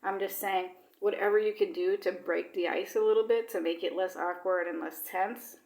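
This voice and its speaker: A woman's voice: mid-range voice, steady tone, normal speed, vocal fry, a little mellow, reverberant. A woman vlogging in casual, rambling talk with lots of fillers, now and then dropping Swedish words into her English. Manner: chatty, rambling, with many fillers. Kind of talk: casual personal chat.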